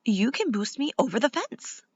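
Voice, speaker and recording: A woman's voice: on the high side, sing-song, moderate pace, slightly rough, lo-fi sound. A woman voicing an eager, earnest young twin boy in a storybook, exclaiming and dropping in Spanish words.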